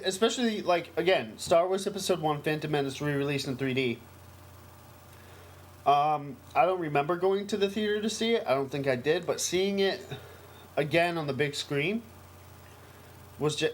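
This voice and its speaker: A man's voice: a little high, expressive, moderate pace. An American man co-hosting a casual podcast, talking in a rambling, emphatic, enthusiastic way. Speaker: podcast co-host. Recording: noisy amateur podcast recording.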